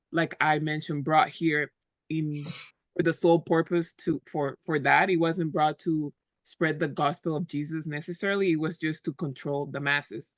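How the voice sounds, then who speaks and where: A man from Honduras speaking thoughtfully in a church discussion group, his sentences measured and trailing off softly at the ends. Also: fairly high pitch, no echo.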